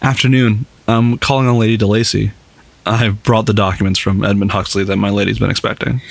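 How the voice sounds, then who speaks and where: A man playing an awkward, eager young nobleman suitor in an ASMR roleplay, halting, flustered and apologetic. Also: fairly low pitch, level tone, vocal fry. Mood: polite. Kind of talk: scripted ASMR roleplay acting.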